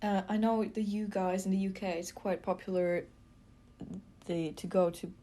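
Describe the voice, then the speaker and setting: A young woman from Latvia co-hosting a podcast, chatty and quick to laugh. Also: medium pitch. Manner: chatty, laughs often, lively asides. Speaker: co-host. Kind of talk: casual chat.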